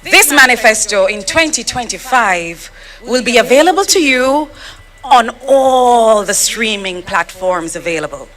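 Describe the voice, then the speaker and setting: A woman's voice: a little high, lively intonation, measured pace, fairly bright tone. A woman in her thirties with a Jamaican accent, a politician giving a playful, theatrical speech at a rally.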